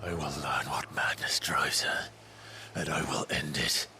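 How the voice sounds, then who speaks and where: A man's voice: unhurried, in a whisper. An actor playing a grim, resolute young swordsman in a video game cutscene, terse and intense, with a vengeful streak. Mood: brooding, resolute. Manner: low, terse, intense delivery. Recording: loud music behind the voice.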